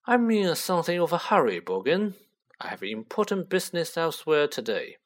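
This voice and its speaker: A man's voice: fairly high pitch, big pitch swings, fairly quick, pitched above the performer's own voice. A man voicing a haughty, cold aristocratic wizard father who speaks with curt, condescending disdain in a storybook reading.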